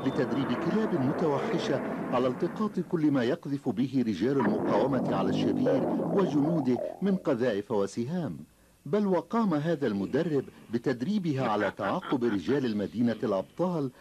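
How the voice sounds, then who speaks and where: Male narrator, dramatic children's story delivery, mid pitch, lively intonation, clear ambient noise. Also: brisk. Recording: loud ambient background noise.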